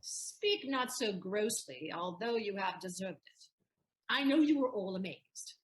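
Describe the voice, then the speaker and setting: A woman playing a proud, sharp-witted wife in a Shakespearean audio play, teasingly indignant in declamatory verse. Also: mid-range voice, expressive, measured pace, lightly acted. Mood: composed, revealing.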